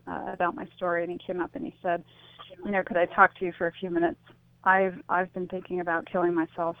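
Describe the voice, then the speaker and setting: A woman giving reflective, tentative interview answers as a guest, explaining things through anecdotes and analogies. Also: lowish voice. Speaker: interview guest, author and speaker on suicide loss. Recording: tinny phone line, echoey.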